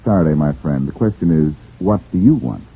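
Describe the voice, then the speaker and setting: Wry cocky radio sleuth, real raspy husky, animated delivery, old time radio. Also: male voice, sits low, brisk, heavily acted. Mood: teasing. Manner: wry, teasing. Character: breezy, wisecracking amateur sleuth, cool and cocky. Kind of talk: 1940s radio acting. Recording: tinny, lo-fi 1940s radio recording.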